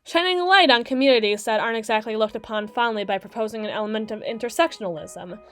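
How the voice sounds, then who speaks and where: Woman acting a sassy, higher-voiced mermaid lawyer. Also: fast talker, soft background music, close to an everyday voice. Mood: explaining. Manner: theatrical, wordy, sardonic, talking to herself. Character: brisk, sassy mermaid public attorney, female. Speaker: voice actor in audio roleplay. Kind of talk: scripted ASMR roleplay monologue.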